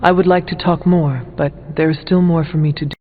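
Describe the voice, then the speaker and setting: Game warrior hero, male actor: stern, resolute, blunt, formal diction, somewhat high male voice, narrow phone-like sound. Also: fairly even intonation, a little fast, close to an everyday voice. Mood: grave, insistent. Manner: formal, uncontracted speech, solemn, blunt. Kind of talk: video game character dialogue. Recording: thin phone-like audio, music behind.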